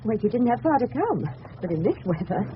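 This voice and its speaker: A woman's voice: on the high side, sing-song, a little fast. An actress playing a gushing, coaxing suburban married woman with a genteel English accent in a radio drama. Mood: gushing, chatty. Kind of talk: 1970s radio drama acting. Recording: dull noisy old radio recording.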